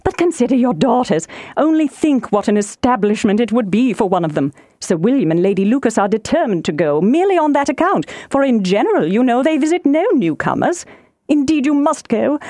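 A woman voicing an excitable, nagging middle-aged wife in an audiobook, with a gushing, impatient, emphatic manner. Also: high voice, brisk, no echo, studio quality, voice pushed up. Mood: pleading, insistent. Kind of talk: audiobook character voice.

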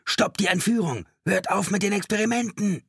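A man voicing a frantic, paranoid hostage-taker in agony for an audiobook, with a high, trembling, strained voice, ranting and accusing. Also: normal speed, gravelly, a bit bright, slightly rough, no room sound, clean studio sound, heavily acted. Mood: enraged, paranoid. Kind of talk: audiobook character acting.

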